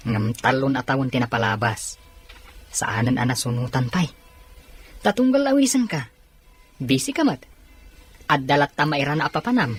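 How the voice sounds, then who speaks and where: Animated, hospitable married man. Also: medium pitch, normal speed, pauses often, breathy edge, faint music behind. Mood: hearty teasing. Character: hospitable married man welcoming a friend to his house. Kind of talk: radio drama dialogue.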